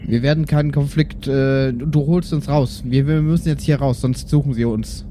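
A man's voice: mid-range voice, fairly even intonation, normal speed, warm tone, a little hiss or hum, natural voice. A man playing a protective, placating doctor and father with a hesitant manner. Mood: cautious, protective. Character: cautious, placating male doctor and adventurer father.

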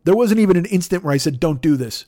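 A man with a fairly high-pitched voice tells a rambling, profane comic story as a podcast host. He swears constantly and acts out the exchanges he quotes. The sound is dry and close, with no room echo. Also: expressive, quick pace, mellow.

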